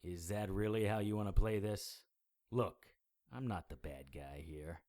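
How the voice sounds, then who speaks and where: Low, sardonic actor as a hard-boiled detective. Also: male voice, unhurried, darkish tone, natural voice. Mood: scornful, exasperated. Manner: sarcastic, world-weary, blunt. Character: hard-boiled sardonic male occult investigator, narrating his case. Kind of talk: noir audio drama acting with narration.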